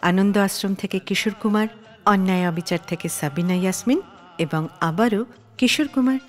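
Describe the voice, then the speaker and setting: A woman hosting a radio show, telling a scripted story in a dramatic manner with pauses for emphasis. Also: mid-range voice, in a large hall, faint music behind.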